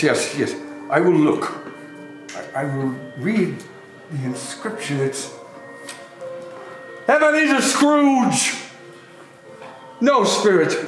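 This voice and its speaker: Man playing old miser, high animated voice, halting pleas and exclamations, theatrical stage reading, roomy echo. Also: heavily acted. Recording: roomy, echoing, music underneath.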